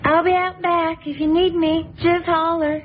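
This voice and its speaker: A kindly, firm neighbor, with a calm and reassuring delivery at a fairly high pitch. The recording is dull and muffled, with clear noise behind her. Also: a little slow. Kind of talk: radio drama acting.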